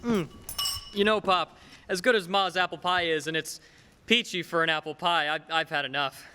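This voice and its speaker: A man's voice: a little high, steady tone, moderate pace, pushed and bright, slight background noise. A man playing an eager, affectionate young son full of wanderlust in a stage radio play. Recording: reverberant hall stage recording.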